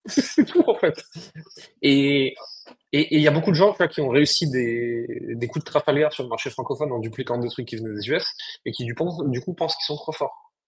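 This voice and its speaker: Fast-talking blunt Frenchman. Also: mid-range voice, expressive. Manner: brisk, blunt, slangy explanations. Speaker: podcast co-host, agency founder. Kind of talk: casual business chat.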